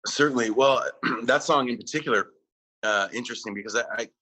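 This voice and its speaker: A male musician answering interview questions, halting often with fillers and restarts. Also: mid-range voice, bright, forceful tone, breathy edge, poor audio. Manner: hesitant, many fillers and restarts. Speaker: musician guest.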